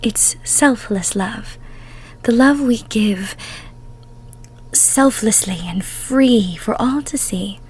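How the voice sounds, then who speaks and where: A woman acts out a tender goddess of love in an intimate audio roleplay. Her voice sits fairly high and breathy, often close to a whisper. She speaks slowly, cooing and coddling the listener with doting, emotional care. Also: heavily acted, rough recording. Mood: tender, advising. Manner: soothing, cooing, coddling with pet names.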